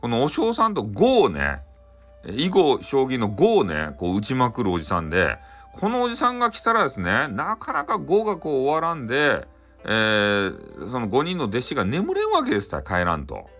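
A man with a Hakata dialect informally telling a bedtime folktale in a folksy, conversational way with halting phrases. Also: medium pitch, wildly swooping pitch, fairly bright tone, soft background music. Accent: Hakata, Japan. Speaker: storyteller.

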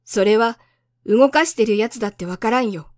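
A woman's voice: a little high, moderate pace, no echo, clean studio sound, natural voice. A woman voicing a matter-of-fact railway switchman in a storybook reading, blunt and plain-spoken, a little world-weary.

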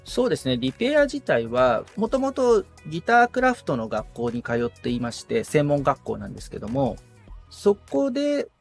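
A man's voice: fairly high pitch, huge pitch swings, normal speed, vocal fry, soft background music. A man around forty talking as a podcast guest in a thoughtful, explanatory way, with tentative phrasing in a casual interview. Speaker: podcast guest, guitar repair technician.

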